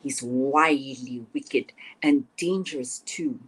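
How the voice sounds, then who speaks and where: A woman voicing an ill, worried old grandmother in a storybook poem, whispery and slow. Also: rumbling low, a bit bright, heavily acted. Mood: worried warning. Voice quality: whispery, breathy.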